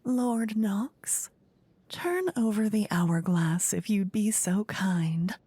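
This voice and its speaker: Lady doing a flippant theatrical queen for fantasy asmr, breathy n soft, super close dry sound. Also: medium pitch, slow pace, no room sound. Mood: playful, commanding. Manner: playful, condescending, theatrical. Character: gleeful, flippant queen presiding over her fantasy court. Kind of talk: fantasy ASMR roleplay, soft-spoken and whispery.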